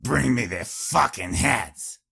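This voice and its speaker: A man voicing a rugged, agitated female pony who leads a raider gang, barking orders in a rough, scratchy rasp for an audio story. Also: mid-range voice, expressive, slow pace, sharp tone, pitched above the performer's own voice, exaggerated voice. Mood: angry, commanding.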